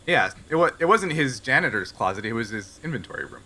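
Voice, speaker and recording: A man with a clearly bright, mid-pitched voice runs a tabletop roleplaying game. He improvises descriptions of the scene and explains them in a conversational manner. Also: big pitch swings, quick pace. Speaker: game master.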